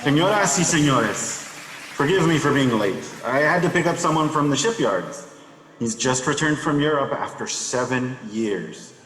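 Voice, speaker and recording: An actor playing a genial, wealthy dinner host in a radio drama, courteous and ceremonious. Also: male voice, medium pitch, animated, moderate pace, a bit bright, lightly acted. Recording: echoey reverberant room.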